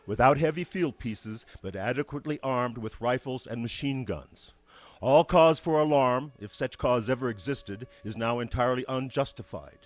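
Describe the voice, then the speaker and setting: An actor playing a male army signal captain reporting from the field in a 1930s radio drama, calm and official. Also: mid-range voice, lightly acted. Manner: calm official tone rising into alarmed shouting. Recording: old radio broadcast recording.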